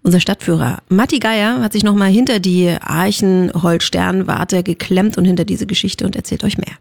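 A female radio presenter with delivers chatty moderation. Her voice has a noticeable creaky fry, and the sound is very dry and close. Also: mid-range voice, moderate pace, darkish tone, no room sound. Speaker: radio host.

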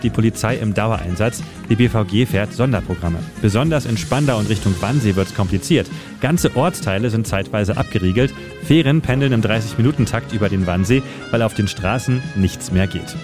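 A male radio narrator with a fairly low voice, delivering a scripted historical feature. Music plays clearly behind him as he tells the story. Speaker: radio editor and city guide narrating a feature. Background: music bed under the voice.

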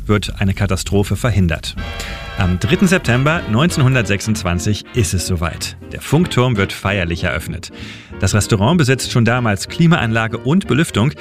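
A man narrating a scripted radio history feature in a steady, measured way. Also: fairly low pitch. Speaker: radio editor and city guide.

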